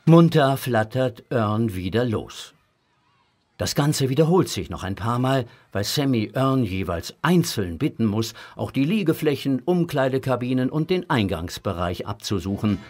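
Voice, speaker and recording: A man's voice: medium pitch, big pitch swings, moderate pace, a little mellow. A man narrating a children's audio drama from a script, in the present tense.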